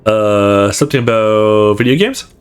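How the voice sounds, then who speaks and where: A man's voice: fairly low pitch, unhurried, smooth, lightly acted. A man playing an absent-minded, easygoing young male student in a visual novel, with a casual manner. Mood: sheepish.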